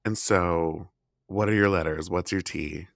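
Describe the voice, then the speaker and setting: A man's voice: low-pitched, a little slow, creaky, fairly bright tone, no echo, studio quality. A man hosting a podcast, chatting casually with a guest and reacting in an encouraging way. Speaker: podcast host interviewing authors. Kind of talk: casual interview chat.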